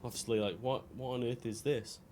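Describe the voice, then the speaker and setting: A man streams a video game and talks casually as he plays. His delivery is rambling and anecdotal, with dry asides, at an ordinary mid-range pitch. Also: fairly even intonation, fairly quick, darkish tone. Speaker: game livestreamer. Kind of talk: casual chat while playing a video game.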